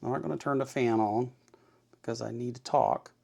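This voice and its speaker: A man with a mid-pitched voice and plenty of gravelly fry hosts an informal how-to. He talks in a folksy, plain-spoken way, reassuring the learner and going back over his points. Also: fairly even intonation, normal speed, darkish tone. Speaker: host of an electronics kit-building tutorial. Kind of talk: informal hands-on tutorial talk.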